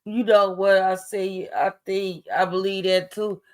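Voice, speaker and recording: Raspy female caller, blunt and opinionated, casual slang. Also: medium pitch, flat delivery, unhurried. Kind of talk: casual phone-in chat.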